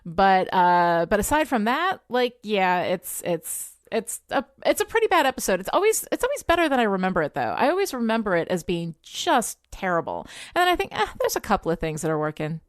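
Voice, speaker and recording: A woman's voice: medium pitch, big pitch swings, fairly quick, hardly any pauses, no room sound, clean studio sound. A woman podcast host with a wry manner, moving between scripted recap and casual chat.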